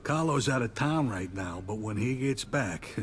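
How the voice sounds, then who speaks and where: A man's voice: medium pitch, animated, a little slow, soft background music, lightly acted. Brief: a man doing a pressing, suspicious game mobster. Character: suspicious male mob boss, demanding.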